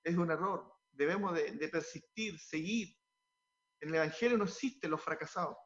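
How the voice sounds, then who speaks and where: A man's voice: a little high, moderate pace, fairly bright tone, dull audio. A man, a pastor, answering interview questions earnestly, often repeating words as he restarts, and sliding into a preaching tone.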